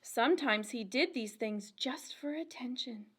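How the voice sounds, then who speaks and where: A woman with a high voice reads aloud for a children's storytime. Her pace is very slow and deliberate, with a sing-song, expressive storyteller delivery shaped for small listeners following a rhyming picture book. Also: slight background noise. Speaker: children's librarian and storytime reader.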